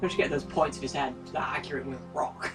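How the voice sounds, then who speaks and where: A man's voice: medium pitch, fast, far from the mic. A man giving opinionated, sweary casual commentary during a let's play of a video game. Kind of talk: casual gaming banter. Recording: off-mic, game music behind. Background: video game music and cutscene audio.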